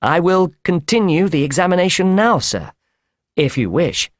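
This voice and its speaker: A man's voice: high-pitched, very animated, normal speed, no room sound, clean studio sound, voice pushed up. A man voicing an earnest male doctor in an audiobook, speaking formally and with emphasis. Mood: calm, courteous. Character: earnest male doctor recounting a troubling case. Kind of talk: audiobook character voice.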